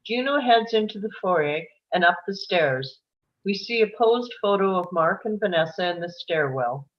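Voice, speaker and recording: A woman's voice: on the low side, lively intonation, moderate pace. Woman narrating screenplay directions, slightly muffled.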